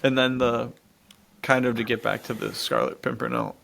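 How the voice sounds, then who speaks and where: Gravelly American man in casual chat. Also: medium pitch, level tone, fairly bright tone. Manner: informal, slangy, enthusiastic, quick to laugh. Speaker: podcast co-host.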